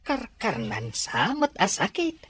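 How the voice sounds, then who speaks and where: A performer playing a weary, ailing old person in an Ilocano audio drama, with a husky, low voice. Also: wildly swooping pitch, slow, a bit bright, heavily acted.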